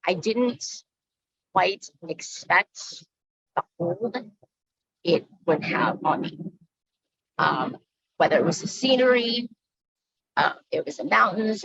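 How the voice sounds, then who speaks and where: Woman from the Philippines, 40s, yoga teacher, halting personal talk, low and husky, slightly dull sound. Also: fairly bright tone, no echo. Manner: halting, with frequent ums and pauses. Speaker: guest speaker, yoga instructor.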